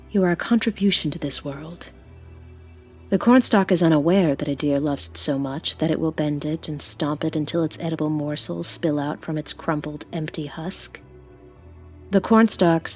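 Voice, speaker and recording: Lonely faceless old woman haunting a home: low, slightly husky, intimate and menacing, for audio drama. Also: darkish tone. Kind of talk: scripted audio drama monologue.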